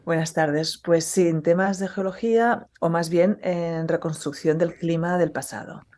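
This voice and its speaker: Slightly low-pitched woman explaining. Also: fairly even intonation, measured pace, a little mellow. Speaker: podcast guest, geology professor. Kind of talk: conversational explanation.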